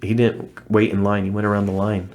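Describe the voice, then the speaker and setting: A man with a fairly low voice speaks as a podcast guest. He tells a long personal story earnestly, in a casual way, often restarting his sentences. He sounds middle-aged. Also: little pitch movement, brisk, darkish tone. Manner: earnest, recounting events with many restarts. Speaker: interview guest, former missionary.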